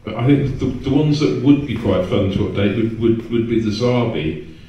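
A man speaks casually as a panel guest. His voice is mellow and mid-pitched. He sounds far from the microphone, in a hall with strong echo. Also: moderate pace, muffled recording. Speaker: convention panelist. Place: stage in a hall, recorded from audience camera. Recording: echoey hall from far off, noisy.

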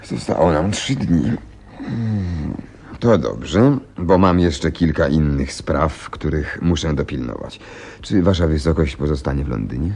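An actor playing a shrewd, self-assured gentleman detective, precise and theatrical, in a radio play. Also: a bass voice, lively intonation, a little slow, husky, exaggerated voice. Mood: businesslike, probing. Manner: precise, inquisitive, theatrical storytelling.

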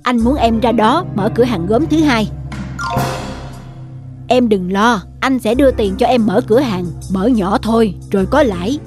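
A woman's voice: on the high side, normal speed, over music, close to an everyday voice. Woman as a bossy, scheming merchant brother. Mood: slyly persuasive. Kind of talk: cartoon dubbing.

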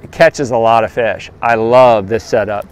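A man in his thirties, a fishing guide, showing his gear outdoors by a lake and talking straight to the camera in a relaxed, practical way. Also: fairly low pitch, normal speed, clean tone. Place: outdoors by a lakeshore. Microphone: lapel mic.

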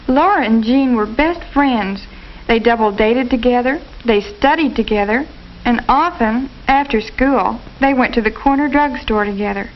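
A woman reading the scripted narration of a 1950s educational film in a measured, storytelling manner. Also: on the high side. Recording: muffled old film soundtrack with hiss.

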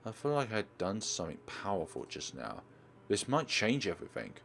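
A man's voice: fairly low pitch, huge pitch swings, moderate pace, vocal fry, a bit bright, a little hiss or hum. A man narrating a game playthrough, reading visual novel text aloud.

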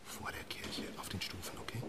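A man acting a brooding husband and father who tells his story in a radio drama, grave and measured. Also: in a whisper, natural voice. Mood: hushed, gentle. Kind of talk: radio drama narration and dialogue.

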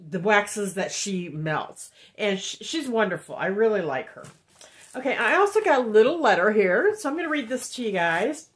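A woman's voice: mid-range voice, fairly bright tone. A woman, chatting casually in a haul vlog and sounding nasal from a head cold, with lots of ums. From Indiana, USA. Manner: chatty and rambling, many ums, reads cards aloud. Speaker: wax melt reviewer. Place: home room, indoors.

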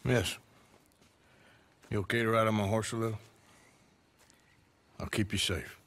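An actor playing a gruff outlaw who speaks in a low, understated drawl. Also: male voice, steady tone, unhurried, fairly bright tone. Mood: calm. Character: gruff middle-aged outlaw, steady and dry.

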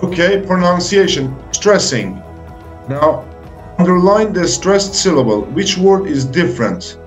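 Slow, deliberate male English teacher. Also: high-pitched, animated, soft background music. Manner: deliberate, short phrases with pauses, encouraging. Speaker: English teacher hosting a video lesson. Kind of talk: slow, step-by-step instructional talk for beginners.

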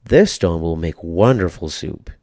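A hungry young man from a children's tale, played by a male reader. He is clever and persuasive, with animated, swinging intonation. The delivery is upbeat and unhurried. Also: deep voice, no room sound, clean studio sound, heavily acted. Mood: coaxing.

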